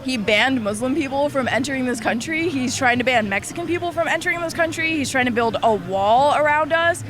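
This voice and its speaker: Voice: sits high, a little fast, a bit bright, slight background noise. A young adult arguing back defiantly in a heated street interview, swearing now and then, outdoors on a city street.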